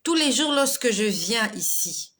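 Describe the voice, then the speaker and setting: Woman, 40s, chatty faith talk, laughs often, clear bright tone. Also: fairly high pitch, lively intonation, unhurried. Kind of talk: casual live devotional talk reading viewer comments.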